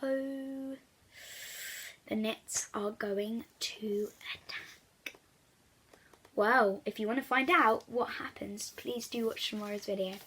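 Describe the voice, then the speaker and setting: Bright, high-voiced woman reading to children. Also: slow pace, long pauses, a little hiss or hum. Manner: animated, addressing young listeners directly. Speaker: children's book reader. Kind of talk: expressive storybook read-aloud for young children.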